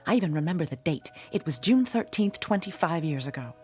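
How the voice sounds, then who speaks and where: An actress playing a bitter, haunted, hard-drinking woman in her forties in a radio drama, theatrical and sardonic with a husky voice. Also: low-pitched, lively intonation, a little fast, darkish tone. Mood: agitated, manic. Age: 40s. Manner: theatrical, sardonic, self-pitying. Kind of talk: old-time radio drama acting. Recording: radio drama with music underneath.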